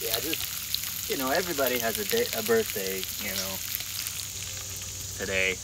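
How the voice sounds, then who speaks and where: A man's voice: lowish voice, lively intonation, normal speed. Teasing young man at a noisy campsite. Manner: teasing, dry joking. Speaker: vlogger's partner. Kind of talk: casual chat. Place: campsite outdoors. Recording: loud outdoor noise, cooking sizzle.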